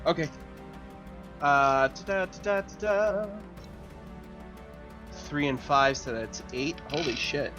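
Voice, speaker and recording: A man's voice: on the high side, slow, pauses often. Casual man on a dull lo-fi mic. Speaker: player in a tabletop game. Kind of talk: casual chat. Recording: dull lo-fi sound, music behind.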